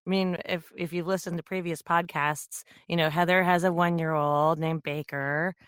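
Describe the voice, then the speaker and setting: Woman co-host, thirties-forties, slightly hoarse, lowish smooth voice, dry close mic. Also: fairly even intonation, moderate pace, fairly bright tone, no room sound. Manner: chatty, laughs often. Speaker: podcast co-host. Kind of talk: casual chat.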